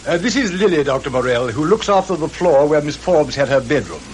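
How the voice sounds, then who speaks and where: Man playing an animated hotel manager. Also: on the high side, brisk, close to an everyday voice. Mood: courteous, businesslike. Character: businesslike hotel manager introducing staff. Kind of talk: vintage British radio drama acting. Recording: noisy, clicky old radio recording.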